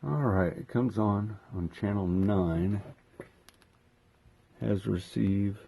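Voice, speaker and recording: A man hosting a casual unboxing video, talking haltingly as he thinks aloud while handling gear. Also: lowish voice, creaky, background noise. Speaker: host of a hobby unboxing video. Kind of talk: casual unscripted product walkthrough.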